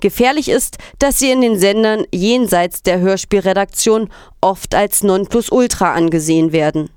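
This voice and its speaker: Clear-voiced woman reading news formally. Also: medium pitch, moderate pace, a little mellow, dry recording, clean studio sound. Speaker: reader of quoted articles and statements.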